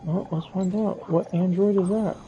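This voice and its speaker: A man's voice: sits high, steady tone, normal speed, mellow, dull audio, slight background noise. Joking, profane male gaming streamer. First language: not Russian. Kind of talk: casual let's play commentary.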